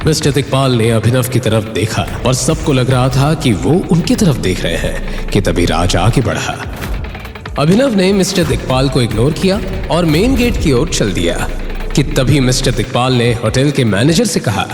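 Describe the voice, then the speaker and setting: Animated male narrator in roomy reverb. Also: medium pitch, normal speed. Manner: suspenseful, emphatic storytelling. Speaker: narrator of an audio drama series. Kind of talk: dramatic scripted story narration. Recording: roomy long reverb, music bed.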